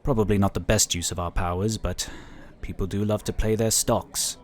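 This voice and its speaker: A man's voice: lowish voice, fairly even intonation, moderate pace, lightly acted. A man playing an affable, talkative and polite psionic inquisitor in a sci-fi audio drama. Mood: candid, explanatory. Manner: talkative, easygoing, explanatory.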